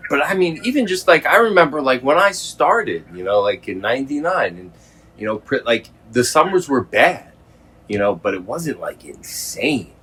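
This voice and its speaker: A middle-aged man in a casual phone conversation, speaking informally and haltingly, with some swearing. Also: mid-range voice, sing-song, a bit bright, slightly rough. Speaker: host, former prisoner.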